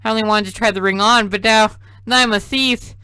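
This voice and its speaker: Casting: man playing a frantic teenage girl from a visual novel, pitched up, bright tone. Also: steady tone, a little fast, clean tone, no echo. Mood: panicked. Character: panicky teenage girl heroine.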